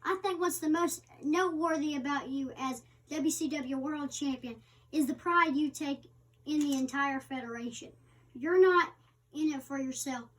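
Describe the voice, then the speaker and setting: A woman reading the interviewer's part of a magazine interview aloud, somewhat haltingly with stumbles. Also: sits high. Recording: distant microphone.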